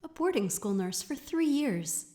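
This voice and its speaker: A woman acts as a polite former boarding school nurse. Her voice is high and soft-toned, with lively rises and falls, as in an audio drama. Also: unhurried. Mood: polite, timid.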